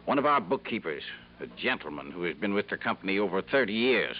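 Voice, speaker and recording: A man's voice: deep voice, big pitch swings, fast. A man playing a pompous, blustering older factory owner in a 1950s radio drama. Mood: indignant, miserly. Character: gruff, miserly, pompous older businessman, self-righteous factory owner. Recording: dull vintage radio recording, hum.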